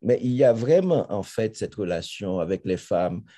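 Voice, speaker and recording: A man hosts the interview with a very deep, mellow voice. He searches for his words and keeps restarting phrases as he goes. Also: expressive, normal speed. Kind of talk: interview conversation.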